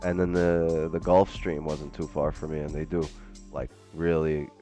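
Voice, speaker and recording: A man with a very deep voice, raised in Brooklyn, hosts a live stream and chats loosely with his viewers. He drifts from thought to thought and fills gaps with "like." Faint music plays behind him. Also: flat delivery, normal speed, warm tone. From Brooklyn, New York. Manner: rambling, chatty, says "like" often. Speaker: trading stream host. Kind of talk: casual live-stream chat. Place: home, living in Miami.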